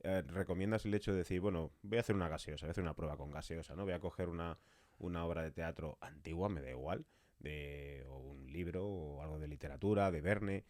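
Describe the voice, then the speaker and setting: Deep-voiced male interviewer, dry sound. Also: quick pace, darkish tone, no room sound. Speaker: podcast interviewer. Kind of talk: casual interview conversation.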